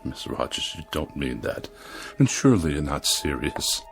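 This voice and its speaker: A man's voice: a bass voice, sing-song, normal speed, over music, full character voice. A man playing a pompous, irritable and lonely magazine critic with haughty, precise diction in a 1960s television drama. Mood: hesitant, pleading. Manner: haughty, ornate, precise wording.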